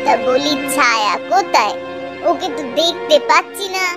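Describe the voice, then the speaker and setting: A woman voicing an affectionate, gently scolding old village grandmother in a cartoon, in a folksy, fond way. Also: sits high, animated, moderate pace. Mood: concerned. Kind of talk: Bengali cartoon voice acting. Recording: loud music behind the voice. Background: music.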